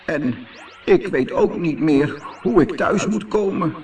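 A man's voice: on the high side, animated, slow, a little mellow, recorded from a distance, faint music behind, pitched above the performer's own voice. A man voicing a battered, lost space rocket with a plaintive manner, in a children's storybook. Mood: plaintive, pained. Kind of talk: children's audiobook character voice.

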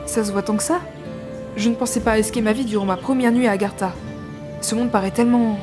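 Woman acting expressive young adventurer heroine, music behind. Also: on the high side, quick pace, lightly acted. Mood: curious, teasing. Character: curious, teasing young woman gamer, a novice ranger, narrating her adventure. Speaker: narrator and lead character. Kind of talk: first-person audio drama narration with dialogue. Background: prominent music.

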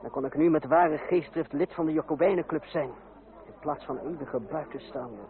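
An actor playing a bitter, ironic young outsider in revolutionary France, in a Dutch radio drama with a dry, sardonic delivery. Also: male voice, a little high, normal speed, close to an everyday voice. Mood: brooding. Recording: tinny old radio recording, crowd behind.

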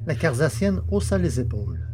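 A man's voice: fairly high pitch, very animated, fairly quick, mellow, slight background noise. A man narrating a scripted science fiction audio drama serial.

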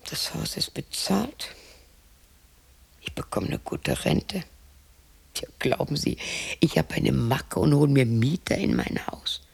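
A woman's voice: a bass voice, sing-song, slow pace, gravelly, pauses often, darkish tone, breathy edge, heavily acted. An actress playing a lonely, cantankerous widow in her sixties in a radio drama monologue, muttering to herself and snapping. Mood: indignant. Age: 60s. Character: lonely, cantankerous sixty-year-old German widow talking to herself.

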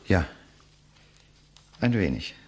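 A proud male writer defying his executioner. The speech is theatrical and eloquent with a sardonic edge, set fairly low and swinging widely in pitch. It has the manner of a 1950s German radio drama. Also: big pitch swings, very slow, a little mellow, husky, full character voice. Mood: resigned, scornful.

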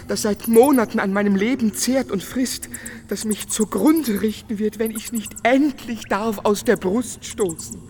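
A young painter consumed by love and torment. He speaks in a slow, literary, declamatory way, full of feeling, in the manner of a German radio drama. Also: high voice, darkish tone, slight background noise. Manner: declamatory, emotional, literary delivery.

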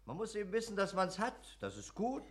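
Casting: jovial wine salesman, radio drama, very lively intonation, self-assured raconteur. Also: high voice, a little fast, heavily acted. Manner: expansive, self-assured, chuckling. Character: jovial, boastful travelling wine merchant, worldly storyteller. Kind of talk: German radio drama acting.

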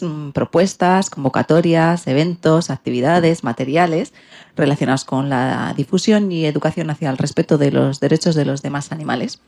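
A woman's voice: sits low, a little mellow. A woman with a Spain Spanish accent hosting a radio show in a loosely scripted, somewhat hesitant way.

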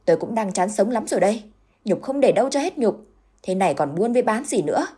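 A woman's voice: medium pitch, fairly quick, mellow, slightly rough, voice pushed up, close to an everyday voice. A woman voicing a tearful, frightened middle-aged village wife who pleads and stammers. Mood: scolding.

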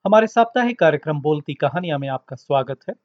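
A man's voice: on the high side, lively intonation, quick pace. A man reading a scripted introduction as the host of a story podcast. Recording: dull lo-fi recording.

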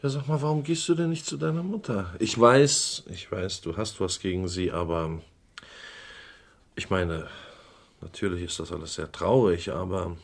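A middle-aged businessman in a radio crime play, with a fairly low voice. He talks in a measured, persuasive way, coaxing and evading as the pressure sits on him. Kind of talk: German radio crime drama acting.

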